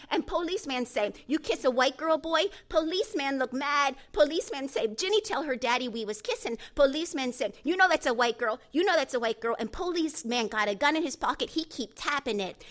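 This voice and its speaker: A woman voicing an excitable seven-year-old boy in an audiobook, telling things in childlike broken grammar and eager run-on sentences. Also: high voice, pitched above the performer's own voice. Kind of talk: audiobook character voice.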